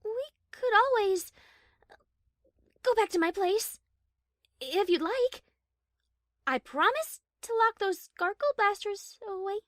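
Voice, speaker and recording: Lady doing a shy posh-mannered little mare for an audio story, super high girly voice, swoopy, no room sound. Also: lively intonation, slow pace, pauses often, a bit bright, dry recording, studio quality, full character voice. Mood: shy, inviting. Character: refined, bashful young female musician pony. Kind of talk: acted audio story dialogue.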